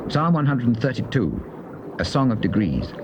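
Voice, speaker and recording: A man making formal scripted announcements of titles in a measured, matter-of-fact way. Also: mid-range voice, sing-song, noisy background.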